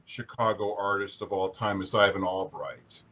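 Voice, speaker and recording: A man's voice: low-pitched, a bit bright. Guy interviewing, stumbles a bit, repeats words getting going. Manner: halting, repeats words while starting a point. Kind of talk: casual video-call interview.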